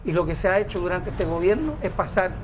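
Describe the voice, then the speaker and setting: A man's voice: high-pitched, animated, quick pace, noisy background. A middle-aged man with a Chilean accent, a senate candidate defending his side in a TV panel debate, insistent and explanatory.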